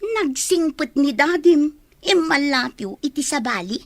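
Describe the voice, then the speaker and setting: A woman's voice: high-pitched, expressive, a little slow, no room sound. A woman playing a nosy, outspoken aunt in a radio comedy-drama. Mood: nosy, exasperated. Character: nosy, outspoken aunt gossiping about family troubles.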